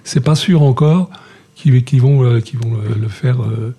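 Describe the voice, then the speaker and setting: A man chatting as a guest in a casual group talk. His voice is gravelly and very raspy, with a dark tone. He speaks haltingly and often repeats his words. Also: mid-range voice.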